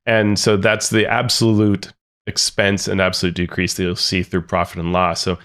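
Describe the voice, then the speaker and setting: A man's voice: deep voice, moderate pace. A man co-hosting a tabletop gaming podcast, casually running through earnings figures with dry asides. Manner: relaxed, reads figures off notes with dry asides. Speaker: podcast co-host. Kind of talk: casual news discussion.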